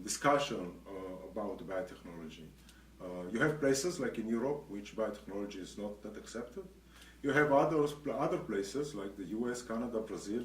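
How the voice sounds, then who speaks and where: Hesitant man, echoey room. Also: mid-range voice. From grew up in Israel. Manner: hesitant, many pauses and restarts. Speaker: guest, association executive. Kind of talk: interview answers. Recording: echoey room, distant mic, faint noise.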